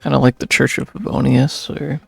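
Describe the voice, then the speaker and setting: A man's voice: mid-range voice, flat delivery, normal speed, creaky, a little mellow, slightly rough, dry recording. A man giving casual live reaction commentary as he streams a video game. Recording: game music behind the voice.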